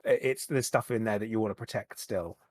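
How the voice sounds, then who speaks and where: A man, a podcast guest, talking candidly in a halting way, often repeating words and starting over. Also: on the low side, no echo, studio quality. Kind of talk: candid conversational chat.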